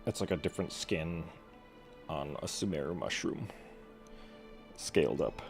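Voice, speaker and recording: A game streamer giving casual, offhand commentary in a deadpan, slangy way. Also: rumbling low, a little slow, creaky, faint music behind.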